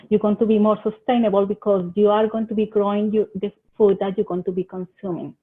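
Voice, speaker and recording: A woman from Colombia with a Colombian Spanish accent, giving earnest home-living tips in a webinar presentation. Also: mid-range voice. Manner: earnest, gives advice in short phrases with some hesitations. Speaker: extension agent presenter. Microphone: video call. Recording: tinny phone-like video call audio.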